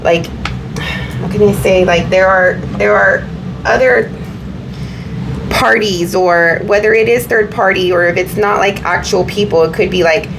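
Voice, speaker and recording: A woman giving a casual tarot reading to her viewers, slightly hoarse, talking in a meandering way with many fillers and repeats. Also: mid-range voice, steady tone, measured pace, background noise. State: slightly hoarse, recovering from illness. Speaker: tarot reader.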